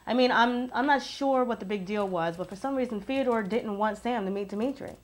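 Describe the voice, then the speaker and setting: A woman's voice: on the high side, a little fast, lightly acted. An actress playing an aggrieved, curious ex-girlfriend giving naturalistic testimony about her lover in a film drama. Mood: puzzled.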